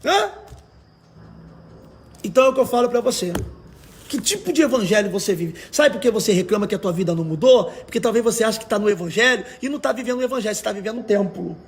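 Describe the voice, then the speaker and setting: A Brazilian man with a markedly high, raspy voice preaches as an evangelical pastor. His delivery is colloquial and emphatic, pressing the listener with rhetorical questions and exhortations in the informal manner of a livestream sermon. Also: expressive, fast, slight background noise. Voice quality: hoarse, raspy.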